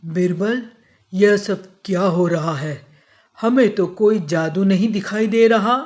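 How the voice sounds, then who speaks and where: A man voicing an authoritative, fair-minded emperor in a children's moral cartoon, with a stately, deliberate way of talking. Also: high voice, darkish tone. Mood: stately, questioning.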